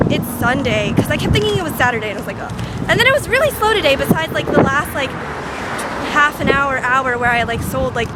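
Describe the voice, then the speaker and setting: A teenage girl rambling casually into her phone camera at a bus stop, full of "like" and self-deprecating asides. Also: sits high. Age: teens. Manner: chatty, lots of "like", self-deprecating. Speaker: vlogger. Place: outdoors by a bus stop on a street. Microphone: phone camera held at arm's length. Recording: loud traffic noise. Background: passing cars and trucks.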